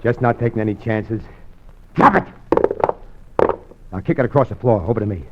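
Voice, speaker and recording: A man's voice: on the low side, normal speed, heavy background noise, lightly acted. An actor playing a nervous, scheming husband who tells his own story, evasive and confessional, in a mid-century radio thriller style. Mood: tense, commanding. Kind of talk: 1940s-50s radio thriller acting. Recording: muffled vintage radio broadcast.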